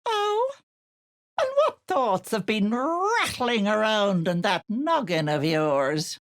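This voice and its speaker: A woman's voice: mid-range voice, sing-song, slow, dry recording, studio quality. A woman voicing a sly, syrupy older auntie witch in a video game, cooing endearments with an edge of menace. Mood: wheedling, sly. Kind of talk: video game character voice lines.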